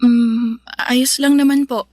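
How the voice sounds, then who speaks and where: A young woman acting in a radio drama, speaking softly and respectfully with a remorseful tone. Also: a little high, steady tone, slow pace, no room sound. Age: young adult.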